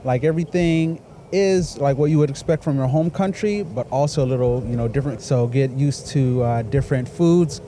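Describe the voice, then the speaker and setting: A man from Chicago giving thoughtful, measured advice. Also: medium pitch, mellow, a little hiss or hum. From Chicago, USA.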